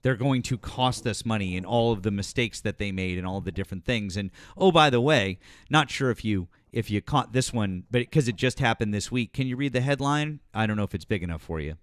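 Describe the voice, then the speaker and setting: A man's voice: lowish voice, animated, brisk, few pauses. A man in his forties hosting a live political stream, pressing a caller with pointed, repeated questions. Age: 40s. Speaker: host.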